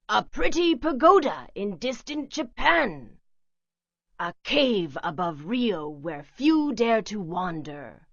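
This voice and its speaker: A woman's voice: mid-range voice, no room sound, exaggerated voice. A woman doing a drawling ghost pirate. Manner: folksy drawl, rhyming patter. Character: jaunty old male ghost pirate. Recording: slightly dull lo-fi recording, a bit distant.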